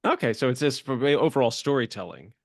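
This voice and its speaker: A man's voice: medium pitch, animated, fast talker, gravelly, no echo. Chatty male podcast host, close dry sound. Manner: chatty, rambling, affirming. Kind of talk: casual conversational interview hosting.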